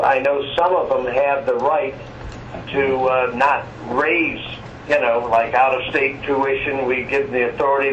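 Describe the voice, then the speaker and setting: A man speaking haltingly and meandering through questions as a board member in a telephone meeting. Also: medium pitch, fairly bright tone. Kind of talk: informal meeting discussion. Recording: echoey muffled phone line, faint noise.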